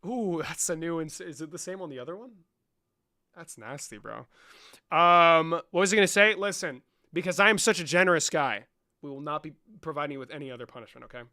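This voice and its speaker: A man hosting a livestream, talking casually and mockingly with lots of swearing, jumping between loud outbursts and mumbles. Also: a little high, a little fast. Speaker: livestream host. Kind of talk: casual livestream chat and debate.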